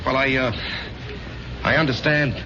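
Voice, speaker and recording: An actor playing an anxious, wavering ex-soldier husband in a 1970s radio drama, with a hesitant, pleading manner. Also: male voice, medium pitch, steady tone, measured pace, a crowd in the background, lightly acted. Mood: evasive, resigned. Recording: noisy, dull old radio broadcast.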